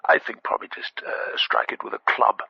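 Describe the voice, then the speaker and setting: An actor playing a posh, doddery, maudlin older man calling a spoof radio phone-in, halting and rambling as he goes. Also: no room sound, natural voice. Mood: hesitant, earnest. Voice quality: husky, creaky, throat-clearing. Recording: dull band-limited phone-in line.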